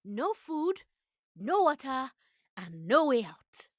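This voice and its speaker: A woman's voice: high voice, sing-song, takes it slowly, exaggerated voice. A woman voicing a feisty, indignant cartoon girl heroine with a bickering, scolding way of talking. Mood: despairing.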